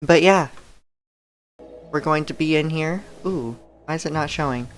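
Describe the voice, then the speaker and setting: A woman streaming a game and chatting casually to her viewers, her throat a bit dry and raspy, talking in a relaxed, rambling way with soft laughs. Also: low-pitched, measured pace, soft background music. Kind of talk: casual live-stream chat.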